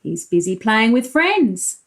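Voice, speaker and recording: A high-voiced woman teacher reads a picture book to small children. Her delivery is very animated and unhurried, with swooping intonation. She talks to the children directly, explaining and asking questions. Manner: expressive, explains pictures and asks the listeners questions. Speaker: preschool teacher. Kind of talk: animated storybook read-aloud for young children.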